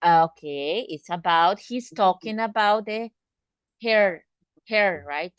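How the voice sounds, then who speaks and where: A woman's voice: medium pitch, takes it slowly, smooth, dry recording. A woman teaching an English class, patiently asking students questions and repeating words to drill pronunciation. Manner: asks students direct questions.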